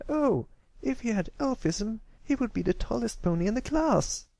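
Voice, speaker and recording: A man voicing a sweet, naive and excitable little girl pony in a story reading, with an innocent, tactless manner. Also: very high voice, moderate pace, gravelly, no echo, voice pushed up, heavily acted.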